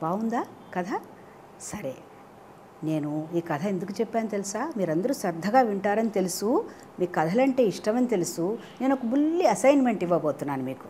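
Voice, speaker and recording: A woman telling a children's story in an animated way, often questioning her listeners and mixing in English phrases. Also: mid-range voice, moderate pace, slight background noise. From India.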